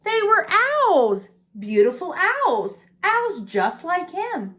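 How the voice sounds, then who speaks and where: A woman's voice: very high-pitched, big pitch swings, slow pace, a bit bright. A woman reading a picture book aloud to young children, with chatty asides about the pictures and questions to the listeners. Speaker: storybook reader for preschool children.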